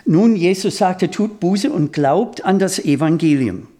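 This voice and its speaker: A man preaching a sermon in a language that is not his mother tongue, deliberate and halting as he rephrases himself. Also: high-pitched, expressive, warm tone. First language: not German; speaks German as a second language.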